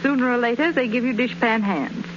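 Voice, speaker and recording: A woman's voice: fairly high pitch, brisk, natural voice. A woman playing a chatty, earnest married woman giving advice in a 1930s radio advertisement. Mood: earnest, confiding. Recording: muffled, hissy 1930s radio recording.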